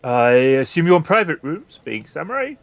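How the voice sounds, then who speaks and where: A man's voice: a little high, sing-song, moderate pace, vocal fry, no room sound, voice pushed up. A man voicing a middle-aged, portly innkeeper in a tabletop game, terse and polite in a businesslike way.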